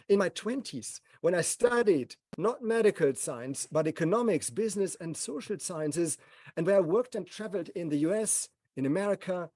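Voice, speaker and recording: Mellow man, slow and solemn. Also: on the high side, no room sound, studio quality. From Germany. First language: German. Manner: measured, speaks in short solemn phrases. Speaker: speaker at a gathering. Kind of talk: reflective personal talk.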